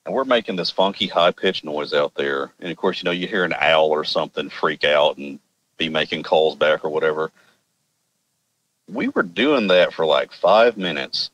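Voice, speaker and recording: A man's voice: very deep voice, fairly bright tone, muffled recording. A man from Arkansas with an American accent, casually telling a personal story in an informal, rambling, wry way. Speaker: podcast guest telling personal experiences.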